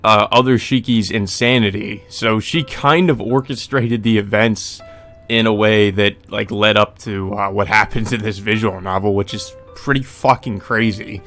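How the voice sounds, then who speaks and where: Male let's player over soft music. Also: fairly low pitch. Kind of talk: visual novel read-aloud with casual commentary. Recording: music under the voice.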